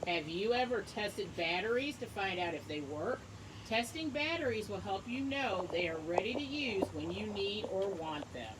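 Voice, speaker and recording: A woman teacher reading a book aloud to young children, encouraging them and pausing to ask questions and explain things simply. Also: mid-range voice, expressive, background noise. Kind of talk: read-aloud lesson with asides and questions to children.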